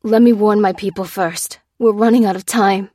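A woman's voice: mid-range voice, steady tone, moderate pace, vocal fry, darkish tone, dry recording, studio quality. A woman voicing a desperate, defiant wounded cop in an audiobook, terse and pleading. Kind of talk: dramatised audiobook dialogue.

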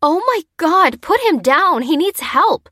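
A woman's voice: extremely high pitch, big pitch swings, unhurried, bright, forceful tone, dry recording, studio quality, voice pushed up, heavily acted. A woman voicing a bold, compassionate, alarmed female character, urgent and protesting. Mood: aghast.

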